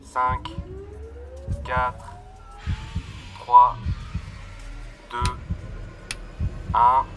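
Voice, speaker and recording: A man's voice: mid-range voice, completely flat delivery, extremely slow, long pauses, fairly bright tone, faint music behind. A young man playing a focused spacecraft flight controller in an audio drama, giving clipped technical callouts. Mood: focused, urgent. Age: young adult. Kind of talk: scripted sci-fi audio drama. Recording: faint noise, vehicle ambience.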